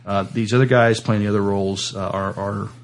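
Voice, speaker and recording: An American man co-hosting a casual entertainment news chat, with a halting delivery and frequent repeated words. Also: lowish voice, fairly even intonation. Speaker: podcast co-host.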